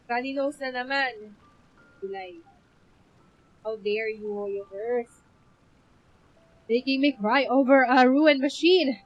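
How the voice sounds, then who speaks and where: A woman's voice: high voice, dull audio. A woman with a Filipino accent chatting casually and emotionally as she livestreams a game, full of exclamations. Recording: music playing behind the voice. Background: game music.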